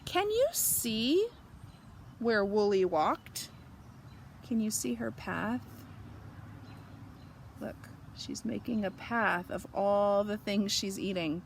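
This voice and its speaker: A woman reading a storybook aloud for young children, pausing to explain and ask them simple questions. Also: mid-range voice, lively intonation, gravelly, a little hiss or hum.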